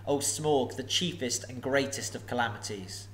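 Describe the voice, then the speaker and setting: A man's voice: medium pitch, moderate pace, slight background noise, close to an everyday voice. A man doing a polite riddling hobbit. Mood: flattering. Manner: flattering, riddling, courteous. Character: small, polite, clever male hobbit thief.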